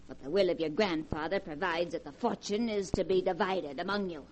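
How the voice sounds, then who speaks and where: A woman's voice: lowish voice, fairly quick, darkish tone, a little hiss or hum, lightly acted. An actress playing a stern, sardonic, haughty old grandmother in 1940s radio drama style. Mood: scornful.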